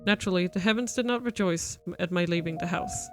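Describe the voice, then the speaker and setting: A woman streamer reading a visual novel's narration aloud, steady and even. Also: on the low side, fast talker, faint music behind. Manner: steady narration with playful retorts.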